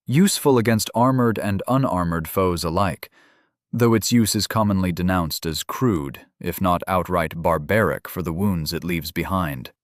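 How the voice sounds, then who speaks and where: A man narrating a fantasy audiobook in a measured way, reading out game item descriptions. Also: low voice, no echo, studio quality.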